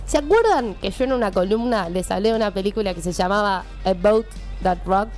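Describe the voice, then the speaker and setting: A woman's voice: mid-range voice, animated, brisk, darkish tone. Argentine woman in colloquial radio chat, music behind. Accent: Rioplatense Argentine Spanish. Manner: colloquial, slangy. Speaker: film columnist.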